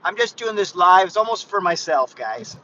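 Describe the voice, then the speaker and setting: A man's voice: high-pitched, sing-song, moderate pace, sharp tone, dull audio. Rambling man in his fifties, driving. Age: 50s. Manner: rambling, chatty, frequent restarts and "guys". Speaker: fitness vlogger. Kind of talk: casual live vlog while driving. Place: inside a moving car. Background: faint car and road noise.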